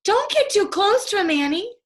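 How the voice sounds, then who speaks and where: A woman's voice: very high voice, sing-song, moderate pace, voice pushed up. A woman voicing a cautious, skeptical, studious young boy in a children's storybook, with a doubting, emphatic manner. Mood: alarmed.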